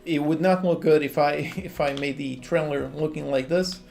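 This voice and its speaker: A man's voice: on the high side, moderate pace, vocal fry. A Portuguese man in his thirties with a Portuguese accent, chatting casually on a livestream as he works on a game and answers viewers' questions frankly. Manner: relaxed, reads chat questions aloud and answers frankly. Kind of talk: casual livestream chat while working. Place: home office, indoors. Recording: quiet lofi music underneath.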